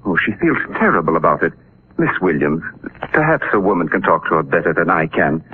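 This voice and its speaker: A man's voice: low-pitched, animated, brisk. An actor playing an anxious, polite older father in a 1940s radio drama, speaking earnestly and formally with some hesitation. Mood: sorrowful. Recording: muffled, noisy 1940s radio recording.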